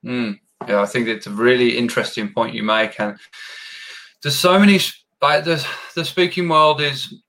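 A man hosting a podcast interview, encouraging his guest and pausing often with fillers. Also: mid-range voice, bright, forceful tone, poor audio. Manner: encouraging, hesitant, many fillers. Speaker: podcast host.

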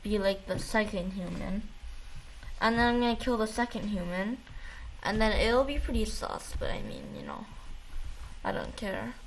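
A woman's voice: medium pitch, sing-song, moderate pace, a little hiss or hum, poor audio. A woman chatting casually, retelling things in a rambling, slangy, jokey way. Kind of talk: casual chat.

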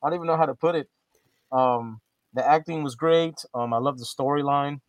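A man's voice: medium pitch, brisk, no echo. A man co-hosting a casual podcast, rambling through a horror movie review with frequent restarts and casual swearing. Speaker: podcast co-host.

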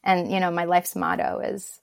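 A woman from Turkey talks as an interview guest, reflective and encouraging. Her voice sits a little low and carries a gravelly fry, and the sound is close and dry. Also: flat delivery, moderate pace, no room sound. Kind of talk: casual interview talk.

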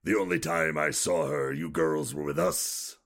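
Man playing a gravelly, gruff retired thief. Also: deep voice, sing-song, unhurried, fairly bright tone, full character voice. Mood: defensive. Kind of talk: audio drama acting.

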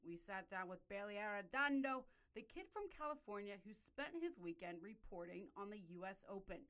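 A young woman from Ohio hosts a sports podcast, talking in a lively, chatty way. Her voice sits at an ordinary middle pitch for a woman, and she keeps the conversation moving with quick reactions and plenty of energy. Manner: chatty and animated, with a punchy scripted opening. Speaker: podcast host. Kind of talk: podcast interview chat.

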